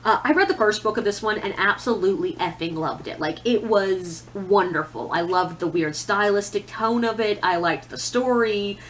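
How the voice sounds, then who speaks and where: A woman's voice: mid-range voice, moderate pace, few pauses, a little hiss or hum. A woman giving a casual, chatty monologue as a book reviewer, opinionated and conversational, with self-deprecating asides.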